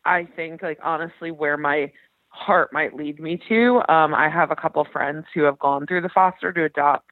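A woman's voice: low-pitched, normal speed, dry recording. Female caller, casual, hesitant and thoughtful, heard dry over a thin telephone line. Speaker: caller to an advice show. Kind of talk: casual conversation. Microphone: phone call. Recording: thin, narrow phone line.

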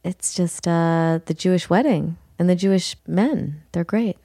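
American female podcast co-host, lowish pitch, very dry recording, casual conversational delivery. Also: measured pace, mellow, dry recording. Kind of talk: casual chat, ad reads.

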